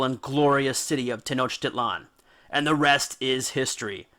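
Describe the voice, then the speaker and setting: A man's voice: mid-range voice, sharp tone. A man narrating a scripted myth in a colloquial, theatrical and playful style, as the host of an educational series. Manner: theatrical, playful, punchy delivery with dramatic pauses.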